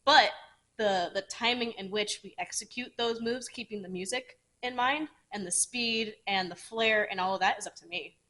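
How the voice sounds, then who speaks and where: A woman's voice: medium pitch, moderate pace, bright, forceful tone. A woman co-hosting a podcast, chatting casually and frankly, swearing freely and explaining things at length with asides. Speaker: podcast co-host. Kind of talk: casual chat.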